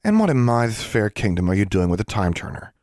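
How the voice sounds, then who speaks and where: A man's voice: fairly low pitch, big pitch swings, brisk, darkish tone, no echo, clean studio sound, pitched above the performer's own voice. A man voicing a big, no-nonsense woman custodian in an audiobook story, melodic yet brusque and challenging. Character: tall, hefty, no-nonsense female school custodian.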